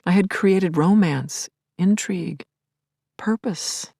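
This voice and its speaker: An actress playing a grieving, lovelorn middle-aged therapist in an intimate audio play monologue, confiding and wry, lingering on words and dropping to murmurs. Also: female voice, fairly low pitch, slow pace, creaky, mellow, no echo, clean studio sound, heavily acted. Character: grieving, lovelorn woman therapist, self-aware and yearning. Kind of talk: intimate first-person dramatic monologue.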